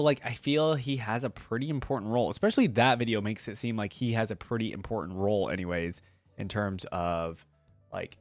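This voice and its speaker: A man's voice: lowish voice, dry recording. A man giving casual, informal commentary to viewers as a reaction host, rambling and thinking aloud. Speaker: gaming reaction YouTuber, host.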